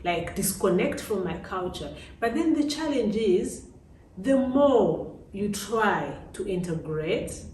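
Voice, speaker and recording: A Ugandan-accented woman speaks on the lower side, in a reflective vlog style. She addresses listeners directly and repeats words for emphasis. The room echoes clearly and she sounds some way from the microphone. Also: warm tone, distant mic, slight background noise. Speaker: vlogger, host. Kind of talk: personal vlog, reflective talk to viewers.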